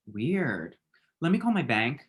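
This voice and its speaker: A man's voice: mid-range voice, big pitch swings, slow. A man acting a dramatic, lovestruck man in his late twenties in a rom-com script read, rambling and quippy. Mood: wry, flustered. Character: dramatic, lovestruck, scrolling-obsessed twenty-seven-year-old man. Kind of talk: screenplay table read, rom-com dialogue.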